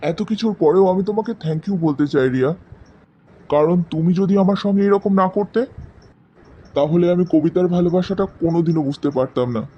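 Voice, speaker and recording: A man acts out a hurt but resolute young man in an animated love drama. His voice sits fairly high, with emphatic, declamatory delivery. The audio is a little dull, with faint noise behind it. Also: brisk, natural voice. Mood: resolute, scornful. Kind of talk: dramatic animated story dialogue.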